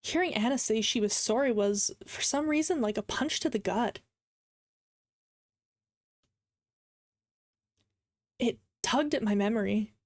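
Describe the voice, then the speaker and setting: A woman voicing a hurt, angry male visual novel narrator in a measured, brooding way. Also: a little high, no echo, studio quality, natural voice. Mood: subdued. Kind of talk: dramatic first-person story reading.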